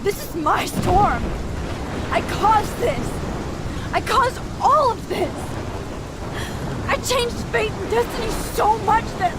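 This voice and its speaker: A gentle young American student in a video game drama, weighed down by guilt. Her voice is very high and she speaks slowly and haltingly. A loud storm with wind sounds behind her. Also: animated, heavily acted. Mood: guilty, anguished. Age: young adult.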